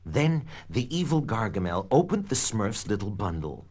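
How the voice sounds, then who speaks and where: A man narrating a scripted children's read-along story in an expressive, dramatic storytelling manner. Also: lowish voice, normal speed, breathy edge. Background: background music.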